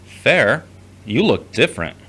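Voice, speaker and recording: A man's voice: medium pitch, very animated, takes it slowly, bright, forceful tone, slight background noise, exaggerated voice. An actor playing a guarded, skeptical man in an audio drama, with a terse, dry, blunt delivery.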